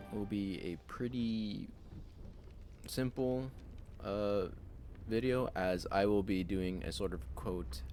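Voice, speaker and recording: A man hosting a casual game play-through, talking in a loose, rambling way with a slightly raspy voice. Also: fairly low pitch, a little mellow. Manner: loose and rambling, with many fillers. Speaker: host.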